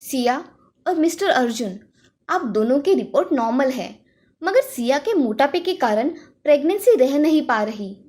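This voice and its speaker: A woman voicing a professional female doctor in an animated moral story, formal and instructive. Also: high-pitched, normal speed. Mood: advisory.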